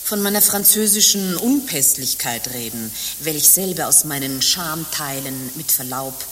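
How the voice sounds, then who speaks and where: An actress playing a bitter, world-weary former harlot turned nun in a radio play, with theatrical speech both ornate and coarse. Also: female voice, deep voice, expressive, measured pace, a bit bright. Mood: bitter irony. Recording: hissy recording with long reverb.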